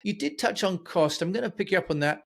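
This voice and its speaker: A man's voice: high-pitched, expressive, fast talker, vocal fry. A man hosting a podcast interview, putting questions and comments to his guest in a conversational way.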